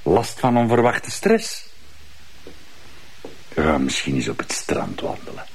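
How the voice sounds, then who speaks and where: A man's voice: low-pitched, big pitch swings, slow pace, husky, heavily acted. A man with a Flemish accent playing a sardonic, threatening character with an old grudge in a TV crime drama. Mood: veiled menace. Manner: insinuating, threatening. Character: menacing, sardonic, suspicious male acquaintance nursing old grudges.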